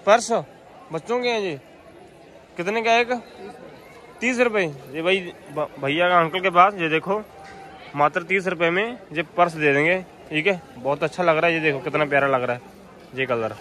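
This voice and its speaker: A man's voice: fairly high pitch, normal speed, sharp tone, a faint crowd. A man giving repetitive, sales-like running commentary to viewers as a street market vlogger, asking vendors prices. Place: busy outdoor street market.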